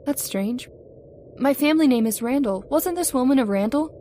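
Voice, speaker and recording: A woman's voice: sits high, lively intonation, normal speed, mellow, a little hiss or hum. A woman playing a troubled, entranced wife in an old-time radio horror drama, earnest and dramatic. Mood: urgent curiosity. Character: troubled, entranced young wife drawn to the graveyard. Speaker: voice actor.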